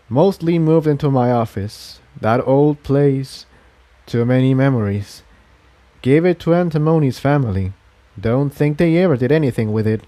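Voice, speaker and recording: A man's voice: mid-range voice, mellow, close to an everyday voice. A man voicing a weary, earnest engineer pony in a storybook reading, talking in a reflective, confiding way.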